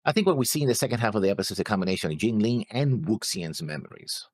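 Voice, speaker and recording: Deep husky man with animated delivery. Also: racing, dry recording, studio quality. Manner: questioning, pushing back on points. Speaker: co-host of an anime reaction show. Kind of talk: casual discussion, debate.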